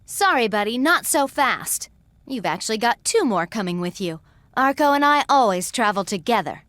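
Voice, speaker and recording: A woman's voice: on the high side, very animated, moderate pace, fairly bright tone, no room sound. A young woman acting a spirited, straight-talking goatherd in a video game cutscene. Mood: breezy, confident.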